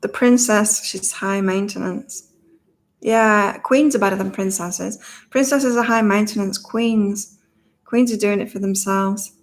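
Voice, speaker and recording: A woman hosts a relaxed online reading session with a slight morning croak in her voice. She chats, laughs often and reads viewers' comments aloud before turning to the cards. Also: mid-range voice, unhurried. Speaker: psychic reader and channel host. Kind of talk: casual livestream chat with card readings.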